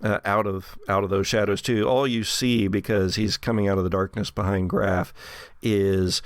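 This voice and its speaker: A man's voice: fairly low pitch, steady tone, normal speed, no echo, clean studio sound. A man improvising narration as game master of a horror tabletop game.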